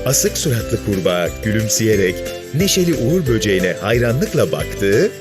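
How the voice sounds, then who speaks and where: A man's voice: lowish voice, sing-song, normal speed, over music. A man narrating a scripted story for children.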